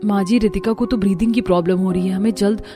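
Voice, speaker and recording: Casting: female narrator as dutiful, expressive young bride, cartoon family tale, mid pitch, faint music. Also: fast, natural voice. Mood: eager, concerned. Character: earnest dutiful young daughters-in-law from poor family.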